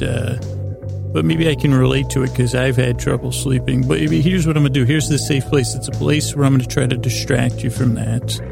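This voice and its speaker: A man with a creaky voice hosting a bedtime podcast, meandering hesitantly in a soothing, reassuring way and often trailing off mid-sentence. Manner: soothing, low-pressure reassurance. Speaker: host of a bedtime podcast for sleep. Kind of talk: rambling, meandering bedtime monologue.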